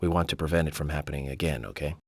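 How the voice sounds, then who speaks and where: A courteous lawman from a video game. His pitch is very low and the recording is dry. He sounds measured, serious and businesslike. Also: darkish tone, no room sound. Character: serious, courteous male lawman on a murder case. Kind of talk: video game cutscene acting.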